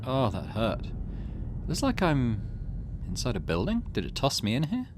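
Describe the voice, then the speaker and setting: A man voicing a wary, excitable young hero of a visual novel, full of questions. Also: medium pitch, moderate pace, background noise. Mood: startled. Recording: music behind the voice.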